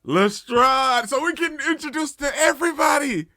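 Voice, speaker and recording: A man giving casual, off-the-cuff reaction commentary, thinking out loud as he goes. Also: extremely high pitch, animated, moderate pace, no echo, studio quality. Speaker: anime reaction host.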